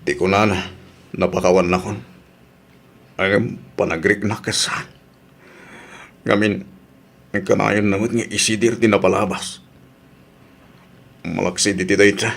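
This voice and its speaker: An actor with a Filipino accent playing a remorseful, aggrieved husband in a radio drama, pleading and emotive. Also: male voice, low-pitched, steady tone, unhurried, vocal fry, pauses often, full character voice. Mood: dejected, aggrieved.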